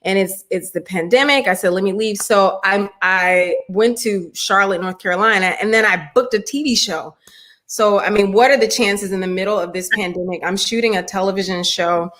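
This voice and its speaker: A woman with a low alto speaking voice, chatting as a guest on a video call, bubbly and laughing often. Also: normal speed, fairly bright tone. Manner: bubbly, laughs often, says honey and y'all. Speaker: guest performer. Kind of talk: casual video call chat.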